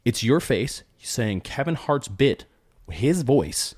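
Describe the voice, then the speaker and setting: A man's voice: medium pitch, very animated, slow, a little mellow, breathy edge, no echo, clean studio sound. A man co-hosting a podcast, debating in casual talk, often restarting sentences and pushing his point. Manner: argumentative, restarts sentences, rising when pressing a point. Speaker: podcast co-host.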